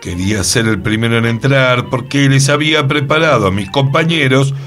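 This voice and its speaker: A man's voice: mid-range voice, moderate pace, fairly bright tone, soft background music, close to an everyday voice. A man acting in radio theatre as an earnest, mysterious co-host, with a Buenos Aires accent and a theatrical, suspenseful delivery. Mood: earnest, explaining. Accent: Argentine, Buenos Aires. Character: earnest, mysterious male co-host recounting strange events.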